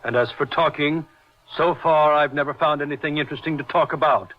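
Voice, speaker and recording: An actor playing an uncanny, precocious boy with a grown man's voice, speaking formally and deliberately in an old radio horror drama. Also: a little high, fairly even intonation, faint music behind, natural voice. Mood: cool, superior. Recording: dull, lo-fi old radio recording.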